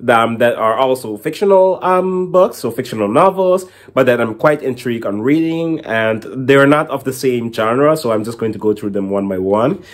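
Young male book vlogger, mid-pitched voice, casual and a little rambling, speaking to camera at home. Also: expressive, moderate pace, hardly any pauses. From Caribbean. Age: young adult. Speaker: book reviewer and channel host. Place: small indoor room at home with bookshelf.

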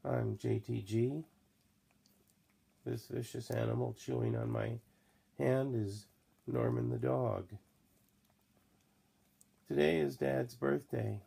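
Middle-aged man, hoarse and worn out, gravelly fry at a middling pitch, slow rambling vlog talk. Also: fairly even intonation, warm tone. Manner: slow, tired, rambling with many fillers. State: hoarse, coughing. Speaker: vlogger. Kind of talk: late-night personal video diary. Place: small room indoors at night. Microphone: laptop or phone camera close to face.

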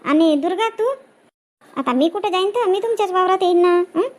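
A woman's voice: very high-pitched, lively intonation, fairly quick, darkish tone. A woman voicing a chatty village farm labourer in a cartoon comedy.

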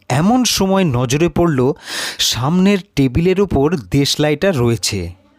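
A man giving a deliberate, dramatic first-person narration of a story. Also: fairly high pitch, darkish tone, dry recording, clean studio sound.

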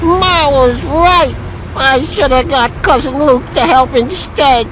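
A woman's voice: high-pitched, slow pace, a bit bright, slight background noise, rough recording. A woman voicing a scheming, bossy, irritable weasel crook in a cartoonish video game. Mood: indignant, irritated.

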